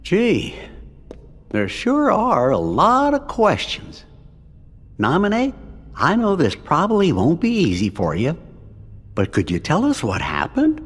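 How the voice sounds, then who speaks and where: Goofy, wildly swooping cartoon fellow. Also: male voice, a little high, huge pitch swings, slow pace, long pauses, breathy edge, exaggerated voice. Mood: gentle, puzzled. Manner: folksy, gentle, bumbling. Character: folksy, curious, kindly goofy cartoon fellow. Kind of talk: animated game cutscene acting. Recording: long reverb, music behind.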